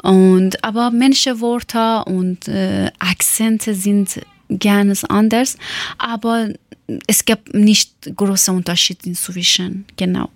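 Halting woman with a Dari accent. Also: medium pitch, fairly even intonation, no echo. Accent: Afghan Persian (Dari). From Afghanistan, Herat. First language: Persian (Dari). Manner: halting, searching for words, many fillers and self-corrections. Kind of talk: casual personal account.

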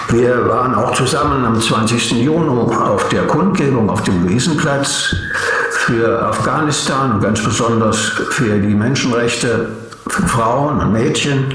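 Male host, calm, informative delivery, mid-pitched, very reverberant room. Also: a little hiss or hum. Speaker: radio host. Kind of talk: radio interview introduction. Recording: echoey room.

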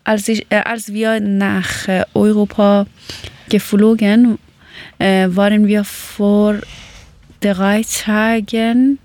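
A woman from Afghanistan, chatting casually and often pausing to search for words. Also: mid-range voice, little pitch movement, a little mellow, dry recording. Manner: searches for words, many pauses and fillers. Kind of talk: casual conversation.

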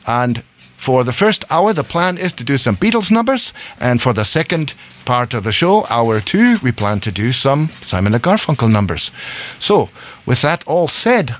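Rambling male radio host. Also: mid-range voice. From Scotland. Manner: rambling, chuckling, self-correcting. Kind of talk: casual live radio chat. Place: hot radio studio.

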